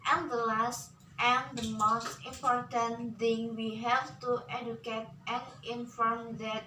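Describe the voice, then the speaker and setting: A young woman with an Indonesian accent giving an earnest, memorized formal speech as a student speaker. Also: a little high. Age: young adult. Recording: roomy echo, from far off, faint noise.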